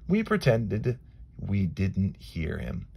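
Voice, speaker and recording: A man reading a children's storybook aloud in a dramatic, expressive way, moving between hushed asides and emphatic phrases. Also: low voice, slow, gravelly, a little hiss or hum. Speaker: storybook reader.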